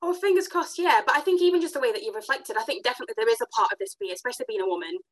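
A quick-talking woman hosting a casual podcast interview. Her voice sits a touch high, and she keeps the talk upbeat with enthusiastic, affirming responses to her guest. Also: animated. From Africa. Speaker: podcast host.

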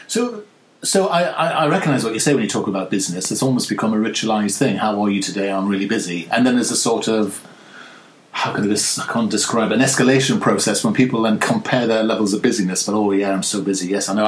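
A man hosting an interview podcast, chatting warmly with frequent restarts and an upbeat manner. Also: fairly low pitch, reverberant, a little hiss or hum. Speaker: podcast host. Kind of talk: casual interview chat.

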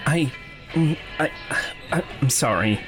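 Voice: medium pitch, lively intonation, slow pace, over music. An actor playing a nervous, earnest, apologetic young man in an audio drama, polite and hesitant. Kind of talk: naturalistic audio drama dialogue.

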